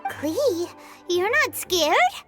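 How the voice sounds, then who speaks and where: Squeaky, wildly swooping sprite. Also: female voice, very animated, very slow, over music, heavily acted. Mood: amazed, dismayed. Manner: exclaiming, dramatic, refers to self by name. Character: tiny, excitable, squeaky female sidekick sprite. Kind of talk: scripted video game acting.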